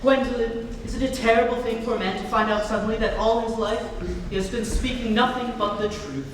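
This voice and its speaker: A young man playing an excitable, earnest Victorian gentleman in a theatrical reading of a stage comedy. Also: sits high, brisk, lightly acted. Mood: triumphant. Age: young adult. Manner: theatrical, exclamatory. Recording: echoey hall from far off, noisy.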